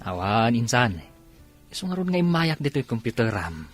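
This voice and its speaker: A man's voice: medium pitch, sing-song, moderate pace, soft background music. A man acting a cheeky, scheming village cousin in an Ilocano Filipino radio comedy drama, with a bantering, questioning manner. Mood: sheepish, defensive.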